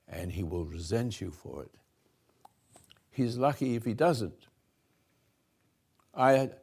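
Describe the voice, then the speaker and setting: An older man slowly giving a rambling spiritual talk to a room, halting and restarting, swinging between soft and loud. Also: medium pitch, gravelly. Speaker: spiritual teacher hosting a talk.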